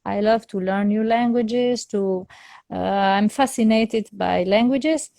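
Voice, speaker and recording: A woman's voice: mid-range voice, slow, creaky, dark tone, no echo, clean studio sound. A woman, a soprano opera singer, speaking English as a second language in a casual interview, hesitant, with many fillers and restarts. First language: not English.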